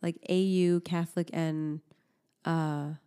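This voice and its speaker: A woman's voice: sits low, level tone, slow, dark tone, smooth. A woman in her thirties, co-hosting a podcast in casual chat, a chatty storyteller who laughs often. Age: 30s. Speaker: podcast co-host.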